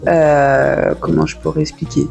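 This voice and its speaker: A woman's voice: low voice, level tone, a little slow, creaky, darkish tone, faint music behind. A woman in her thirties from France, with a French accent from France, answering as a guest in a casual interview. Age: 30s. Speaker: guest, café owner.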